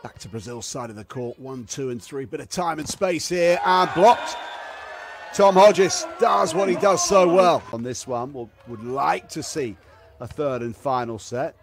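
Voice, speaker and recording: A man with a fairly high voice calls beach volleyball play by play. He speaks in short, clipped phrases and lifts towards a shout when the big points come. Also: unhurried. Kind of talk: live sports play-by-play commentary.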